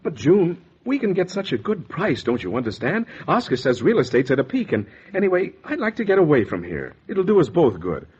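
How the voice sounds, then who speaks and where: An actor playing an anxious, guilty husband hiding a murder, with a hesitant, stammering American delivery in 1940s radio noir style. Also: male voice, on the high side, wildly swooping pitch, a little fast, few pauses, slightly rough, full character voice. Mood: anxious persuasion. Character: anxious guilty husband, secretive murderer, narrating his crime. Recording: dull, muffled vintage 1940s radio recording.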